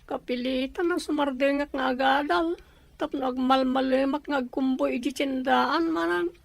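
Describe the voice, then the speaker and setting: A woman's voice: high voice, fairly even intonation, normal speed, creaky, no echo. A woman playing an anxious, dutiful younger sister in an Ilocano audio drama, earnest and plaintive, with an Ilocano accent. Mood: resigned, sad.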